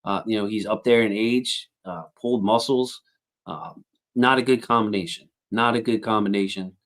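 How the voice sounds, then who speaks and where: A man hosting a casual football livestream, reading out viewer comments and thinking aloud with lots of fillers, and his voice has a slightly squeaky edge. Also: fairly even intonation, normal speed, fairly bright tone. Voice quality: self-described squeaky voice. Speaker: football channel host reading live chat.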